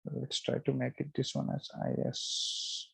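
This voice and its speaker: A man giving a step-by-step screen-share software tutorial, thinking aloud in a halting, repetitive, instructional way. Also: medium pitch, flat delivery, vocal fry, slightly rough. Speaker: software trainer.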